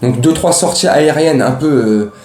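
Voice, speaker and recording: A man's voice: medium pitch, moderate pace, darkish tone. A man giving a casual, slangy football recap as host, talking straight to his viewers. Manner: slangy, chatty, addresses viewers directly. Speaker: host of a football fan show. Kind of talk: casual match recap to camera. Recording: roomy, echoey room sound.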